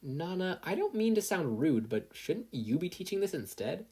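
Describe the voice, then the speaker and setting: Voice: mid-range voice, very animated, moderate pace, mellow, husky, pitched above the performer's own voice. A performer voicing a nervous, earnest teenage boy hero trainee in an audio story. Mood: hesitant. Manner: anxious, earnest, rambling questions.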